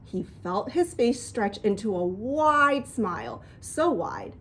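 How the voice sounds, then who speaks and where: High-pitched, animated woman reading aloud. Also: takes it slowly, slightly rough. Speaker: storybook reader. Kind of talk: expressive children's book read-aloud.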